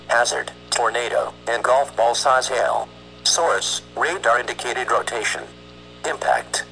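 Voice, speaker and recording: A man's voice: medium pitch, bright, forceful tone. A man reading a scripted emergency warning in a formal, clipped announcer's manner. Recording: noisy, humming weather radio sound.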